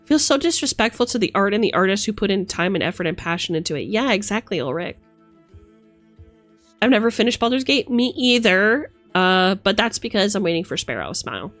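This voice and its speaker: A woman streamer talks straight into a close, dry microphone with music playing underneath. Her pitch sits in the middle for a woman. She rants casually and emphatically, with theatrical exasperation and plenty of swearing. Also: very animated, brisk, fairly bright tone, no room sound. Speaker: streamer and tabletop game master. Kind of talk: casual opinionated rant to stream viewers.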